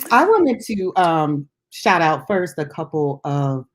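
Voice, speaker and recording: A woman's voice: sits low, very animated, slow pace. A woman co-hosting a casual music chat show as a DJ, talking in an informal, chatty and giggly way. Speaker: radio DJ and co-host.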